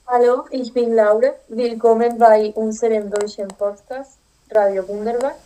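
A young female student hosts a podcast and asks plain, scripted questions. Her voice is fairly high and mellow. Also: fairly even intonation, normal speed, rough recording. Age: young adult.

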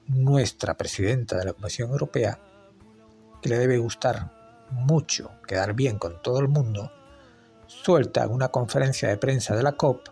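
Gravelly, lively-voiced male commentator. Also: medium pitch. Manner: ironic, critical commentary. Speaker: environmental commentator. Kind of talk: scripted opinion segment.

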